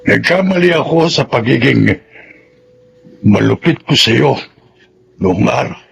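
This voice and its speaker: An actor with a Filipino accent playing a gentle, remorseful old grandfather in a radio drama, speaking earnestly and haltingly with a gravelly voice. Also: medium pitch, lively intonation, slight background noise.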